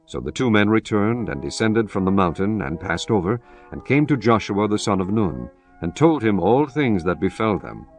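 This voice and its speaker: A man narrating scripture in a solemn, measured way. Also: fairly low pitch, big pitch swings, soft background music.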